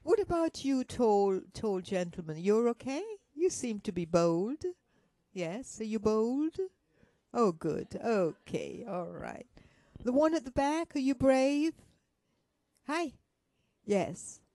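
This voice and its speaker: A woman giving an interactive motivational talk, gently coaxing her listeners with questions and endearments. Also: a little high, expressive, slow pace, no echo. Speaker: workshop speaker.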